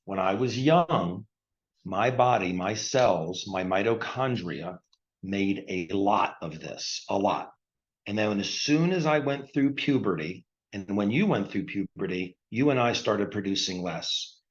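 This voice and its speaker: A man in his sixties, a retired surgeon, explaining a health product in plain, repetitive terms and clearing his throat often. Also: fairly low pitch, expressive, unhurried. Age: 60s. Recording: dull lo-fi recording.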